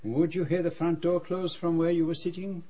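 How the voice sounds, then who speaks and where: An actor playing a polite foreign detective who questions people formally and carefully in a radio drama. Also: male voice, a little high, level tone, warm tone, close to an everyday voice, low-quality recording. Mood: probing, courteous. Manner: courteous, formal, measured questioning.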